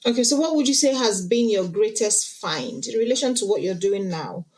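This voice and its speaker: A woman's voice: medium pitch, expressive, normal speed, a little mellow. Curious woman asking probing questions. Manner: curious, probing questions, short back-channel replies. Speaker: guest interviewer. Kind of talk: conversational interview questions.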